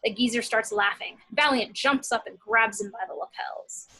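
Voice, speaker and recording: A woman narrates screenplay action at a table read. Her voice is fairly high and bright, with a noticeably husky edge. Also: moderate pace, poor audio. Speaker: narrator reading action descriptions.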